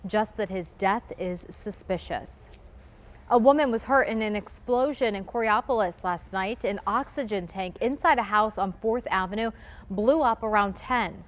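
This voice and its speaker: A woman anchoring local news, reading scripted stories in a broadcast style. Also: mid-range voice.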